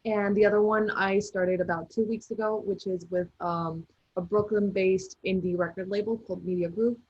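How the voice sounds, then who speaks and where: A young woman from Colombia introducing herself on an online panel, friendly and steady with some fillers. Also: medium pitch, moderate pace, breathy edge, dry recording. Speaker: alumni panelist. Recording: dull dry video-call audio.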